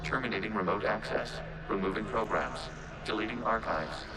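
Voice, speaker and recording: An actor playing a calm, logical robot with a collective mind, speaking formally through synthetic processing in a video game cutscene. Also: male voice, lowish voice, very noisy. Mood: matter-of-fact. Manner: formal, measured, detached, speaks of itself as "we".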